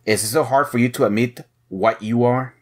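An actor playing a shrewd, suspicious head agent, probing and mocking, in an audio drama. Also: male voice, mid-range voice, fairly even intonation, normal speed, lightly acted. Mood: accusing. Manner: probing, sardonic.

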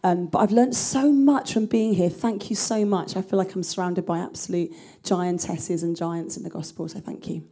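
Earnest panelist woman, mellow tone, handheld mic, large room. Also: fairly low pitch, steady tone, moderate pace. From England. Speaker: panelist, church planter. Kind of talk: personal introduction on a conference panel.

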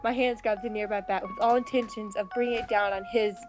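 A woman's voice: mid-range voice, steady tone, fast, darkish tone, soft background music. A woman playing and reading a visual novel aloud, mixing dramatic narration with casual commentary.

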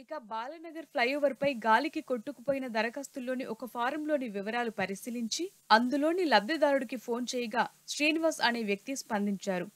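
A woman narrating a news report in a steady, formal reporting voice. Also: sits high, a little fast, dry recording, faint music behind.

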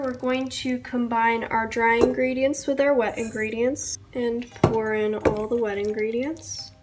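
Casting: young female baking vlogger with a cold, high voice, casual recipe narration, music underneath. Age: young adult. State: sick with a cold. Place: home kitchen, indoors.

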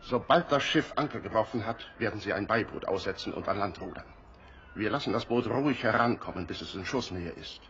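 An actor in a radio play playing a resolute, commanding leader of castaways who speaks in a measured way. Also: male voice, lowish voice, expressive, fairly bright tone. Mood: determined. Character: resolute male leader of island castaways planning defence. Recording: dull recording with background noise.

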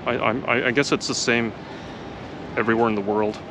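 A man's voice: mid-range voice, fairly even intonation, fairly quick, background noise. A man in his thirties from Japan talking casually to a handheld camera outdoors, with many fillers and restarts. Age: 30s. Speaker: vlogger. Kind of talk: casual vlog talk to camera. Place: outdoors on a street by trees. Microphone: camera held close to face.

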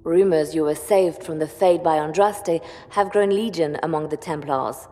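An actress playing a shrewd, composed spymaster advisor in a video game, speaking in a measured, firm and persuasive way. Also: female voice, low voice, warm tone, in a large hall, lightly acted. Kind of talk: dramatic video game dialogue.

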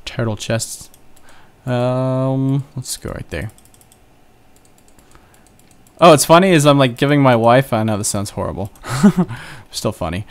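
A man's voice: medium pitch, a little slow. A young man casually narrating his own computer game play, rambling and joking at himself as he goes. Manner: chatty, rambling, self-joking. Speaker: gaming channel host. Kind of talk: casual Let's Play commentary.

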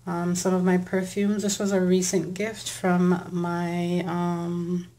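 A woman's voice: lowish voice, monotone, slow pace, mellow, a little hiss or hum. Chatty woman giving a bedroom tour. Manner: chatty, with frequent ums and likes. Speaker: home vlogger. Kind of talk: casual room tour narration. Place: bedroom at home. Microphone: handheld camera, filming while walking.

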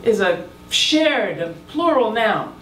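A woman journalist speaking on stage into a handheld mic, earnest and reflective, a little halting. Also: a little high, sing-song, a little hiss or hum. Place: large theater stage. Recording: echoey hall, distant mic, soft music.

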